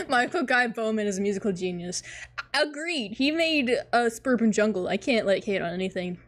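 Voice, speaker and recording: A hoarse woman in casual reaction chat, excitable and swearing, laughing often. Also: on the high side, normal speed. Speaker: webcomic reaction streamer.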